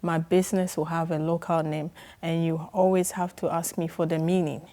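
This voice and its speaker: Ghanaian woman guest, low pitch, earnest, pauses to think, casual interview talk. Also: little pitch movement, warm tone. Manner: earnest, with frequent hesitations and laughs. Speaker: guest, restaurant owner.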